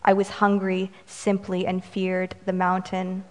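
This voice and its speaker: A Romanian-American woman whose first language is Romanian, reading her poems slowly and measuredly and adding brisk, wry remarks between them. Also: mid-range voice, monotone. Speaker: poet. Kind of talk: poetry reading with commentary. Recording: reverberant hall.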